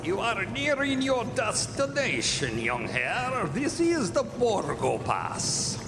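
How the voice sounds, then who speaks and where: In an echoing, noisy hall, an ominous coach driver for radio theatre. His voice is high and swoops wildly, slow and portentous. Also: wildly swooping pitch, exaggerated voice. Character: ominous male coach driver announcing the stop. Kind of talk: live stage radio drama acting.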